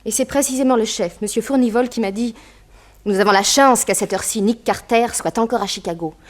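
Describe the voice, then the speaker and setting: A woman's voice: a little high, fairly quick, lightly acted. An actress playing an anxious, determined young businessman's daughter in a 1960s French radio drama, speaking earnestly and emphatically. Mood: earnest, urgent. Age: young adult. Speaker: actress in a detective radio serial.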